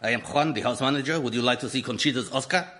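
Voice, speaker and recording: An actor playing a smug house manager in a radio comedy. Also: male voice, medium pitch, fast talker, pushed and bright, close to an everyday voice. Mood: smooth, matter-of-fact. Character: smug Spanish house manager named Juan.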